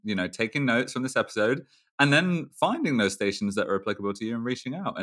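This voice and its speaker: A man's voice: on the low side, fairly bright tone. A man hosting a podcast in casual interview chat, with informal, slangy and joking phrasing. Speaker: podcast host.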